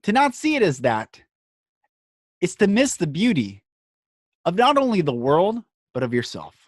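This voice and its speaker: A man hosting a podcast, speaking in an earnest, emphatic inspirational monologue broken into short phrases with dramatic pauses. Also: high-pitched, huge pitch swings, no room sound, clean studio sound.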